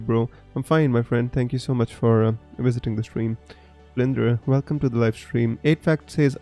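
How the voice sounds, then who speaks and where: A man's voice: medium pitch, warm tone. A man hosting a live fantasy football stream, answering viewer comments in a friendly, chatty way with lots of fillers.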